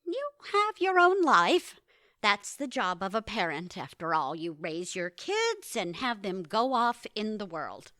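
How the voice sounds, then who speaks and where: A woman's voice: mid-range voice, huge pitch swings, unhurried, sharp tone, no echo, studio quality, exaggerated voice. A woman playing a fussy, disapproving middle-aged mother in an audio play, sarcastic and guilt-tripping as she nitpicks. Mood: disapproving, bossy. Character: fussy, disapproving mother of grown children, germ-obsessed. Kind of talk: audio theatre dialogue.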